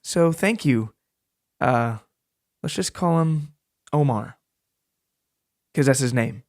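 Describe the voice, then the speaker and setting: A man's voice: mid-range voice, lively intonation, slow pace, darkish tone, no room sound, studio quality. A man hosting a narrative podcast, talking to listeners in a joking, casual, storytelling way. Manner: joking, rambling, addresses listeners directly.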